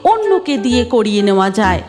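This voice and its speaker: Female cartoon narrator, high and very animated, scripted storytelling over music. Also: fast. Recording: music behind the voice.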